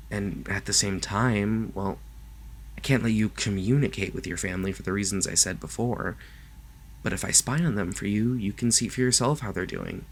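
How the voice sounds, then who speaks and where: A man playing a lovestruck, possessive kidnapper in an ASMR roleplay, speaking softly and haltingly to the listener, sometimes close to a whisper. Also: on the low side, a little hiss or hum, natural voice. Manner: soft, gentle, halting, sometimes dropping to a whisper. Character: lovestruck male yandere kidnapper, doting, possessive, awkwardly reassuring.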